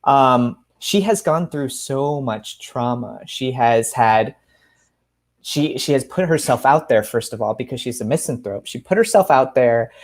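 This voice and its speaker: A man speaking as a guest author in a conversational interview, candid and thoughtful, pausing as he explains. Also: mid-range voice, expressive. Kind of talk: conversational interview about writing.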